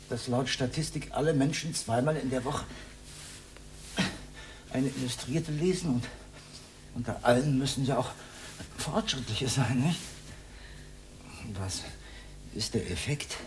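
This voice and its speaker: A man's voice: medium pitch, background noise, natural voice. An actor in a radio drama playing an earnest, awkward, idealistic older man who speaks in a stilted, formal, lecturing way. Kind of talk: 1980s German radio drama acting.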